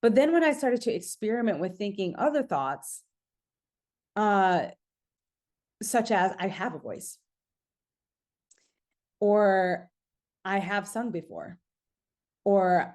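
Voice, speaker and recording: A woman with a mid-pitched voice talks as a podcast host coaching her listeners. She is casual but emphatic, circling back over key phrases and voicing inner thoughts as if quoting them. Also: darkish tone. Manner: emphatic, repeats key phrases, checks in with "right?"; acts out own thoughts as quoted lines. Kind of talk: casual motivational solo podcast talk.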